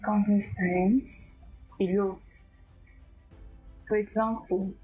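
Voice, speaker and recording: A young woman with a small, quiet voice, speaking haltingly with restarts as a guest in a casual interview. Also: medium pitch, level tone, faint music behind, low-quality recording. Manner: soft-spoken, halting with restarts. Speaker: guest, tech skills tutor.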